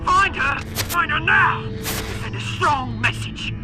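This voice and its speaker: A ruthless commander barking orders, very high strained voice, loud score. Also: male voice, expressive, slow, over music, heavily acted. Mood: commanding. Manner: shouted commands.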